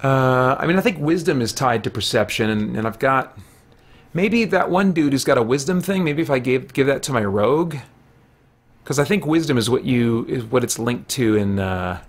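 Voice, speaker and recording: A man in his forties giving casual livestream game commentary, halting as he thinks aloud. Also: mid-range voice. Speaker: video game streamer and podcast host. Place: small room at home, indoors.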